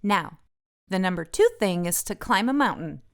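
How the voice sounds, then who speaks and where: A woman's voice: medium pitch, lively intonation, moderate pace, clean tone. A woman narrating a picture book aloud for children as the host of a read-aloud.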